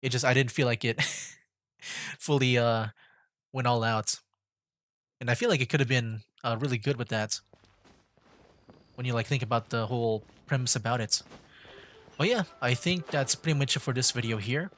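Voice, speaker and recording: Casual male streamer, medium pitch. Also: moderate pace, pauses often, a bit bright. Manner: meandering, mild, thinking aloud. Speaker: streamer, VTuber. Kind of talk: casual gaming livestream commentary.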